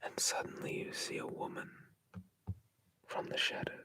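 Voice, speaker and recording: A storyteller telling folklore in a slow, hushed, playful whisper, ASMR style.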